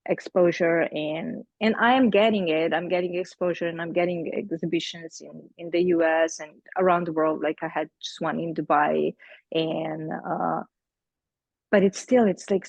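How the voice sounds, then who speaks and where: Gravelly Slovenian-accented woman, hesitant. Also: on the low side, no room sound, muffled recording. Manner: hesitant, searching for words, many fillers. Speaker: artist presenting her work to a group. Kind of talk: casual group meeting talk. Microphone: video call.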